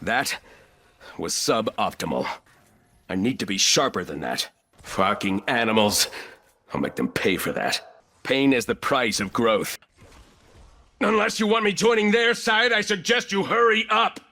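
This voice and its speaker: An actor playing an arrogant, ruthless, calculating corporate villain in a video game, speaking in clipped, condescending lines. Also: male voice, mid-range voice, sing-song, unhurried, long pauses, bright, forceful tone, heavily acted. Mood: defiant, cold, then urgent, strained.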